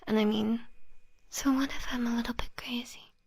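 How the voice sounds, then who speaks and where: A young woman whispering an ASMR roleplay as an obsessive, possessive lover, cooing endearments softly. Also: on the high side, fairly even intonation, normal speed, creaky, husky, no room sound. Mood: unhinged devotion. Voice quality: soft whisper.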